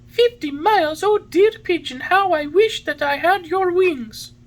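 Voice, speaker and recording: A woman's voice: extremely high pitch, expressive, moderate pace, sharp tone, voice pushed up, full character voice. A woman voicing a childlike, emotional little wooden puppet boy in a storybook, with a plaintive, exclamatory delivery. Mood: eager, excited.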